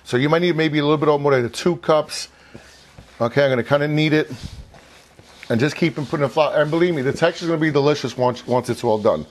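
A man's voice: a little high, fast. A man in his fifties hosting an informal cooking demonstration in a home kitchen, chatty and often repeating and rephrasing himself, on a lapel mic. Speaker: cooking show host.

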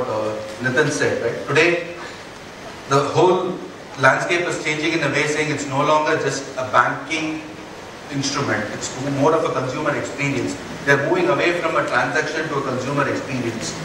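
A man in his forties with an Indian English accent, giving an earnest conference keynote on a large stage and often checking in with the audience with a quick "right?". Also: a little high, background noise. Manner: earnest, rhetorical questions, frequent "right?" tags. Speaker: keynote speaker. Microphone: headset mic. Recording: echoey hall, heard from far off.

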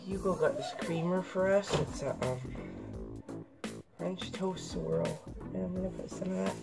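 A woman's voice: on the low side, moderate pace, vocal fry, over loud music. A woman in her thirties, losing her voice from a cold, chatting casually to the camera while she cooks in a small home kitchen. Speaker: vlogger. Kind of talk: casual home cooking vlog. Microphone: camera on the counter.

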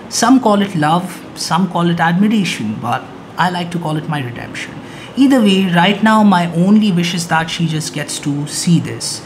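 A young man on a video channel, talking to camera from his small home studio in an earnest, dramatic, flowery way. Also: a little high. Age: young adult. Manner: dramatic, earnest, flowery wording, lively hand gestures. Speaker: YouTube creator. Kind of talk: earnest scripted monologue to camera. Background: background music.

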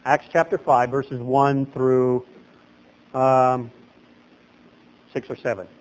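A middle-aged man preaching a sermon as pastor at a lectern in a small chapel, animated and gesturing, his voice leaping up for emphasis. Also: medium pitch, slow pace, rough recording.

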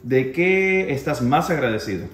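A man's voice: mid-range voice, lively intonation, unhurried, fairly bright tone. A man acting as interviewer, reading out short, casual personal questions. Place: home kitchen. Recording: echoey home kitchen, faint noise.

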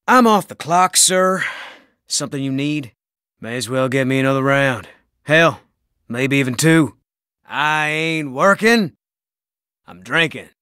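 A man's voice: medium pitch, expressive, a little slow, long pauses, bright, forceful tone, clear, resonant tone, no room sound, clean studio sound. An actor playing a cynical, insubordinate male spaceship crewman in a video game, with a slangy, blue-collar, sardonic manner. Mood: irritated, then surly. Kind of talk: video game character barks.

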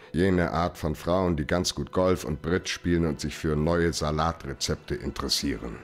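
An actor playing a cool, sardonic hard-boiled private detective in a German radio crime drama, laconic in manner. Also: male voice, a bass voice.

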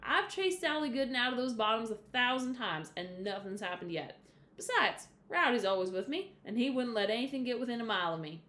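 A bold, eager fourteen-year-old farm boy played by a woman reading a storybook aloud, pitched well up and talking with boastful, protesting energy. He insists he can handle anything. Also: animated, brisk, a bit bright, roomy sound.

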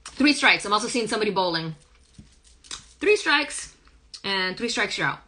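A woman in her thirties with a hoarse, croaky voice, chatting casually through a live-stream reading in a small wood-paneled room, recorded on a phone. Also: mid-range voice, animated, moderate pace, pushed and bright, a little hiss or hum. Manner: chatty, rambling, hums song lyrics. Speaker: spiritual reader. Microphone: phone camera at arm's length.

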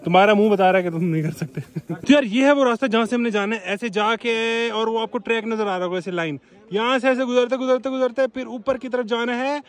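A young man filming himself at arm's length on a mountain trail, joking excitably and often out of breath. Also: very high-pitched, a little fast. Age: young adult. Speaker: travel vlogger. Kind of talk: casual travel vlog. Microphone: handheld phone camera at arm's length.

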